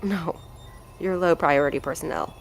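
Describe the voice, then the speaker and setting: A woman's voice: low voice, normal speed, gravelly, a little hiss or hum. Woman acting haughty, callous scientist. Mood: cool, dismissive. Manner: haughty, cutting, emphatic. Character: arrogant, callous, impatient female research doctor in charge. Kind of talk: scripted audio drama dialogue.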